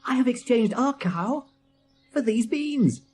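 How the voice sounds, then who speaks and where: A woman's voice: on the high side, lively intonation, slow pace, warm tone, voice pushed up. A woman voicing a naive, earnest young boy in a fairy tale, speaking eagerly and a little plaintively.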